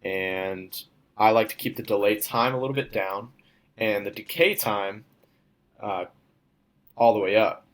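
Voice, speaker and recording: A young man, a student, walking through a demo of his software project in an informal, step-by-step way. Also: lowish voice, measured pace, pauses often. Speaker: student presenting a class project.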